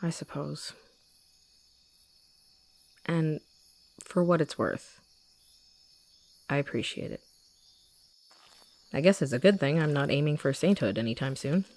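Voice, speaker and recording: A woman playing a guilt-ridden young monster hunter turned gentle rebel in a roleplay audio drama, softly confiding in the listener. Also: low-pitched, animated, a little slow, pauses often. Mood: remorseful, tender. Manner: soft, confiding, addressing the listener directly.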